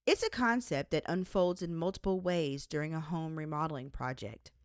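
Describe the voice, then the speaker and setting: A woman reading their own devotional book aloud as an author, with measured, deliberate and emphatic phrasing. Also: deep voice, dry recording, studio quality. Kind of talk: devotional audiobook narration.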